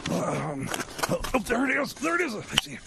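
A man's voice: extremely high pitch, huge pitch swings, a little fast, creaky, very noisy, exaggerated voice. A man playing a hapless, grumbling, tipsy time machine mechanic in comedy radio theater, muttering and exclaiming to himself. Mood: searching.

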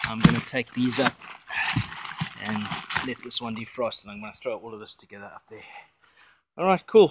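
A man chats enthusiastically while filming his worm farm on a handheld phone. He sounds casual and rambling, at a middling pitch, outdoors in a sunny garden. Faint background noise and handling clicks sit under his voice. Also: a bit bright, low-quality recording. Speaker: worm farmer vlogger. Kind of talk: casual how-to vlog. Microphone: handheld phone camera.

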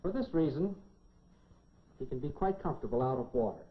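A man's voice: medium pitch, lively intonation, a little fast. A middle-aged American man presenting a scripted 1950s educational science film in a folksy, dryly humorous manner. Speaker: science presenter in a lab coat. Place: laboratory set, indoors. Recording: dull 1950s film soundtrack, clearly noisy.

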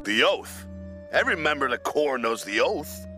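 A man voicing an earnest, commanding cartoon space hero with a gravelly, stern, declamatory delivery. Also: mid-range voice, very animated, moderate pace, sharp tone, soft background music. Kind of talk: cartoon voice acting.

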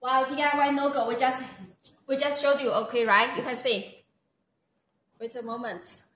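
A high, bright-voiced woman with a Chinese accent pitches products on a live stream, leaning on okay and wow between points. Her voice rings through a very echoey room. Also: steady tone, normal speed. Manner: eager, repeats "okay" and "wow", non-native phrasing. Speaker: sales presenter.

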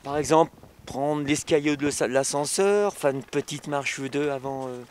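A man in his fifties, a ski instructor, answers interview questions outdoors on a snowy slope into a handheld mic, talking in halting phrases with many filler tags. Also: on the high side, a little mellow, a little hiss or hum. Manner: halting, frequent "hein" and "évidemment" tags.

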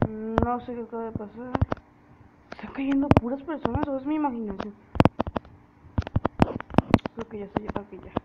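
A woman's voice: fairly high pitch, moderate pace, pauses often. A woman giving casual commentary on her own gameplay, muttering to herself and chanting repeated words. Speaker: gamer. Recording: muffled lo-fi audio with loud noise.

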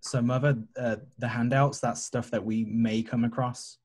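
A man with a slightly husky voice, chatting casually as a player in an online tabletop game. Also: medium pitch, flat delivery, normal speed, darkish tone.

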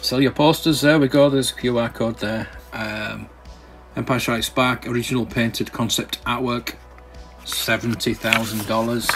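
A man's voice: mid-range voice, fairly even intonation, a little slow, a bit bright. A man casually browsing a catalogue and commenting as a channel host, rambling and hesitant, with many ums and restarts. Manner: enthusiastic collector's remarks. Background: faint background music.

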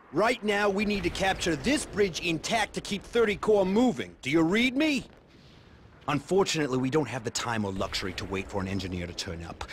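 An actor playing a sardonic lieutenant giving his men a brisk briefing in a war video game. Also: fairly high pitch, a little hiss or hum. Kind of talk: video game cutscene acting.

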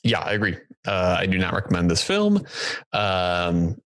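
A man in his thirties talks films casually as a podcast host. His voice sits low and moves around a lot in pitch. The sound is dry and close on the microphone. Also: sing-song, normal speed, fairly bright tone, dry recording. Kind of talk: casual film review discussion. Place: indoor home room, remote video call. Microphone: close podcast microphone with headphones.

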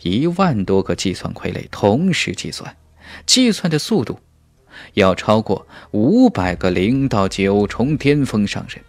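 A man's voice: lowish voice, big pitch swings, measured pace, no room sound. A man narrating a fantasy novel as a scripted audiobook, with a dramatic storytelling delivery.

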